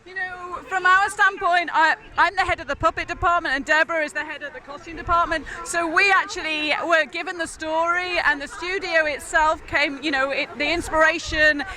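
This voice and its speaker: A woman's voice: very high-pitched, fairly even intonation, fairly quick, hardly any pauses, bright, forceful tone. A woman, head of a film's puppet department, answering interview questions politely and upbeat.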